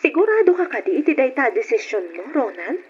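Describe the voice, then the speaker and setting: Casting: a caring female confidante, highish swooping voice, radio drama with muffled sound. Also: sing-song, brisk, over music. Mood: concerned. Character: supportive, caring single female friend. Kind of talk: Ilocano radio drama acting. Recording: muffled old radio recording.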